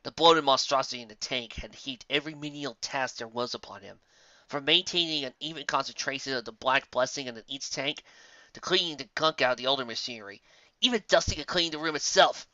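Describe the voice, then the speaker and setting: A man's voice: mid-range voice, a little fast, bright, forceful tone, no room sound, muffled recording. A man reading a fantasy story aloud as a dramatic, theatrical and emphatic narrator. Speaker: narrator of fan fiction audiobook.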